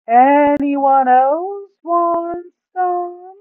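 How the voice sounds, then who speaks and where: Woman doing greedy blunt fantasy adventurer, raised pitch, dragging the words out, tinny phone-ish audio. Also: extremely slow, no room sound, exaggerated voice. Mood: nonchalant. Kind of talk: acted fantasy story reading. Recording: thin, phone-like sound.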